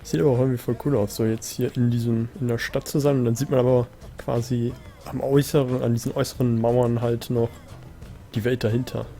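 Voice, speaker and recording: A man's voice: medium pitch, level tone, quick pace, mellow, soft background music. A German man giving casual let's play commentary, musing and thinking aloud. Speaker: let's player.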